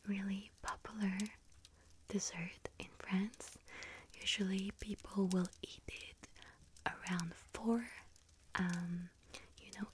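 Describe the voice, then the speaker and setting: A woman acts out a friendly young witch working a bakery counter in an ASMR roleplay. She speaks in a breathy whisper and takes her time, chatting gently with soft, hesitant pauses. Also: medium pitch, close to an everyday voice.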